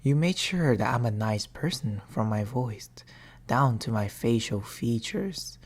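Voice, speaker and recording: A woman voicing a patient, mournful male sleep paralysis demon in a hushed, formal ASMR roleplay, often whispering close to a binaural microphone. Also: very deep voice, lively intonation, measured pace, breathy edge, exaggerated voice. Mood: wounded, brooding.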